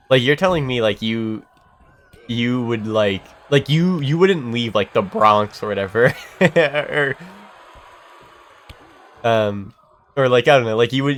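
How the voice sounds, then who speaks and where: A young man with a congested, stuffy voice chats loosely while playing a game on stream. His talk rambles, full of fillers, self-corrections and casual swearing. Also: medium pitch. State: congested from a cold. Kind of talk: casual chat while gaming.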